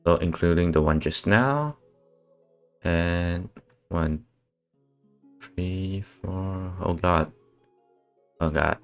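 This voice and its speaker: Deep voiced guy streaming a game, mumbling little casual reactions, some swearing, faint music behind. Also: extremely slow, long pauses. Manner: muttering brief reactions, mild swearing. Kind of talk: casual live stream commentary.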